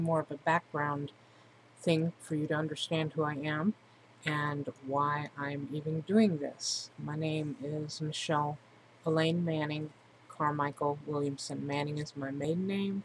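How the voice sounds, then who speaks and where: Candid middle-aged woman over clear background noise. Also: low voice, fairly even intonation, a little slow. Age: 40s. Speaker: vlogger introducing themself. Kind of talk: personal monologue to camera, casual. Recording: clearly noisy amateur recording.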